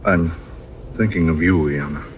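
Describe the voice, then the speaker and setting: An actor playing an earnest, anguished adventurer in love, with dramatic intensity in a 1940s radio adventure. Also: male voice, rumbling low, moderate pace. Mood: tender anguish. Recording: muffled, hissy 1940s radio recording.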